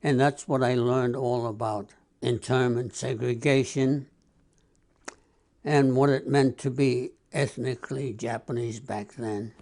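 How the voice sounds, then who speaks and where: Slow-speaking man in his nineties. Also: medium pitch, fairly even intonation, darkish tone. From United States. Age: 90s. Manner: slow, measured, deliberate. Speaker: interviewee. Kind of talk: documentary interview recollection.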